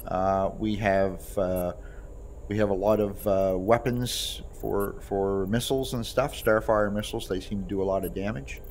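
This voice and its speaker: A man's voice: lowish voice, fairly even intonation, unhurried, darkish tone. A man giving casual, unscripted gameplay commentary, explaining things as he goes. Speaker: gamer demonstrating a voice pack. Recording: noisy home recording.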